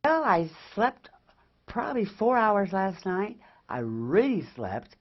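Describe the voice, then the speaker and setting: A woman's voice: medium pitch, sing-song, slow, breathy edge, lo-fi sound. A woman giving a casual, unscripted update as a patient. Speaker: patient reporting recovery.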